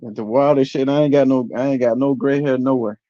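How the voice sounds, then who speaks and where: Laid-back man in his forties, mellow. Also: mid-range voice, fairly even intonation, a little fast, no echo. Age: 40s. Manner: laid-back, says "bro" a lot. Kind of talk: casual chat. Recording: dull lo-fi sound.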